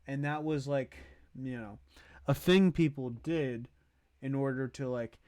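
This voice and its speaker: A man giving casual livestream commentary as host, thinking aloud in a halting way as he ranks things. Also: medium pitch, darkish tone, no echo, clean studio sound. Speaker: streamer hosting a movie tier list.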